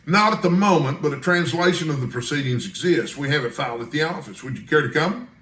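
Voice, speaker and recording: A man's voice: fairly high pitch, expressive, quick pace, a bit bright, roomy sound. A man voicing a blunt, detached, gossipy bacteriologist in an audiobook, with a dry, wry, bookish manner. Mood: helpful. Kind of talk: audiobook character dialogue.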